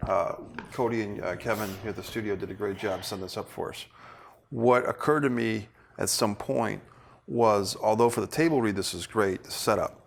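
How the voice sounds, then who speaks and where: A man directing actors, giving feedback in deliberate, hesitant phrases in a studio. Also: on the low side, creaky. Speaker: film director. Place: indoor studio.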